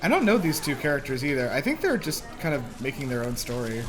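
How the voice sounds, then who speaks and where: A man's voice: medium pitch, very animated, fast, crowd noise. A man giving casual, chatty reaction commentary with exclamations and plenty of "like". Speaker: movie reaction host.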